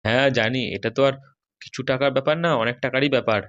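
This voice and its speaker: An older cartoon father, played by a man, talking quickly at an ordinary pitch. He is reassuring and a little put-upon as his child presses him for money. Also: steady tone, a bit bright, dull audio, natural voice. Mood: reassuring, hesitant. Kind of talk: amateur comedy cartoon dialogue.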